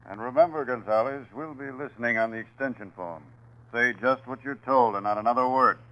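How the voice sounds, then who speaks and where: An actor playing a curt, tough police sergeant who barks snappily in 1940s radio crime drama style. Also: male voice, medium pitch, brisk, close to an everyday voice. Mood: threatening. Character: tough, brisk police sergeant, loyal and bullying to suspects. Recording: dull lo-fi 1940s radio recording.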